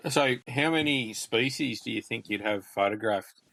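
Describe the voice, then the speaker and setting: Man, podcast co-host, gravelly, forceful tone, rambling questions, dry close sound. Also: medium pitch, moderate pace, no echo, rough recording. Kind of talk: casual interview questions.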